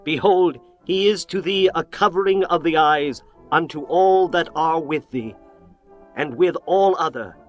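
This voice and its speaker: A man performs a righteous king of the ancient world, fairly high in voice and declamatory. His phrasing is formal and archaic, in the manner of dramatized scripture. Music plays behind his words. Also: unhurried, muffled recording, lightly acted. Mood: magnanimous, firm. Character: indignant righteous ancient king confronting and pleading. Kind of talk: dramatized scripture audiobook acting.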